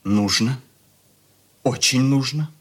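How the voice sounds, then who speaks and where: An actor playing a sullen, desperate, rebellious schoolboy in a radio drama, curt and brooding in manner. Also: male voice, medium pitch, slow pace, a bit bright, husky. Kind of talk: Russian radio drama acting.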